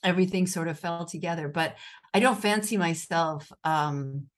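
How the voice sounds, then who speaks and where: Low-voiced American woman journalist. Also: normal speed. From United States. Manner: thoughtful, with frequent restarts and fillers. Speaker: journalist and author, interview guest. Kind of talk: conversational interview answers.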